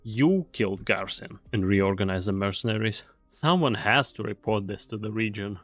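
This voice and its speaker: A man acting as a world-weary mercenary comrade with a fairly low voice. He speaks gravely and deliberately, like a fatalist who still does his duty. It is anime game dub acting, recorded dry with faint music underneath. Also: fairly bright tone, no echo. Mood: grave, resigned.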